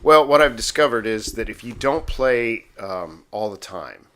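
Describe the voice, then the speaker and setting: A man's voice: medium pitch, animated, unhurried, pushed and bright. A man of about fifty chatting casually as a co-host, with a self-deprecating way of talking. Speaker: podcast co-host. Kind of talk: casual chat.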